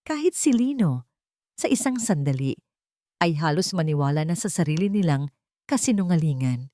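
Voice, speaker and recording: Low-pitched woman narrating a dramatic story. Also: sing-song, moderate pace, warm tone, no echo, clean studio sound.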